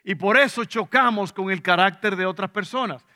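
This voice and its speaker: A man's voice: sits high, normal speed, bright, forceful tone. A man with a Dominican Spanish accent, preaching a sermon to a congregation, repeating key points and putting questions to them. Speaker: pastor.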